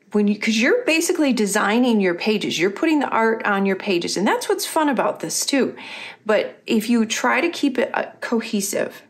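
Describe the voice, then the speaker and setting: A middle-aged woman, a little husky and losing her voice, chatting casually as she walks through her planner pages. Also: on the high side. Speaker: craft company host. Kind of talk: casual craft tutorial voice-over.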